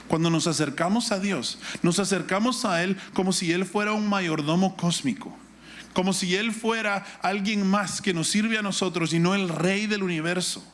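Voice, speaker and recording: A man's voice: fairly high pitch, a little hiss or hum. A middle-aged man with a Guatemalan Spanish accent, preaching a sermon in an instructive manner with rhetorical questions and repetition. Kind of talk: sermon with Bible reading and personal anecdote.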